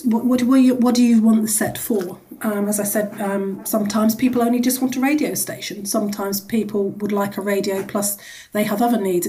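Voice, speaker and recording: A woman with a soft-edged, mellow tone speaks as an interview guest. Her intonation stays fairly level as she explains things conversationally, qualifying and repeating phrases as she goes. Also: medium pitch. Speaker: charity executive, interview guest.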